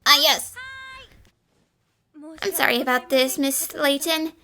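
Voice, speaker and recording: A woman's voice: high-pitched, fairly even intonation, slow pace, vocal fry, sharp tone, exaggerated voice. A woman voicing a polite, helpful young house maid with a courteous manner in a fan dub. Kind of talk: fan dub game voice acting.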